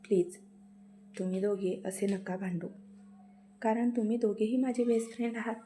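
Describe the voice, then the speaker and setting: Woman doing a calm college girl smoothing things over, soft mellow voice, slow. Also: mid-range voice, slight background noise, natural voice. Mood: soothing. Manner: soothing, explaining. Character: gentle peacemaking young college woman.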